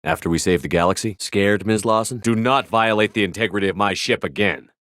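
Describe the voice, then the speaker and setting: Guy acting a tough space soldier hero for a video game, deep voice, blunt and commanding, super dry studio sound. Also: brisk, fairly bright tone, no room sound, close to an everyday voice. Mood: measured, confident. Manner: firm, clipped, authoritative delivery.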